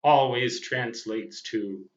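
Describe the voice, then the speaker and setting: An older man, a ham radio hobbyist, giving an unscripted monologue to viewers in deliberate, wordy, self-deprecating phrases. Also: medium pitch. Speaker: amateur radio operator and vlogger. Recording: dull, roomy, echoing sound.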